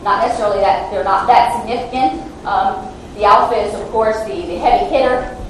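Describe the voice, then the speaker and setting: A woman's voice: medium pitch, brisk, a little hiss or hum. Woman lecturing in a very echoey hall. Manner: hesitant, self-deprecating, with fillers. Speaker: guest lecturer, lab scientist. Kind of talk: academic lecture. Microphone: handheld microphone. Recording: echoey hall, mic far off.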